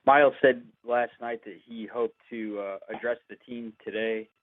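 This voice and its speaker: A man's voice: medium pitch, steady tone, normal speed, dry recording. A man asking short questions as a sports reporter on a press conference call. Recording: dry, narrow phone line.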